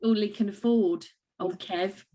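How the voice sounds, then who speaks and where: A woman co-hosting a podcast, chatting casually, sarcastic and quick to laugh out loud. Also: medium pitch, steady tone. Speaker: podcast co-host. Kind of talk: casual chat.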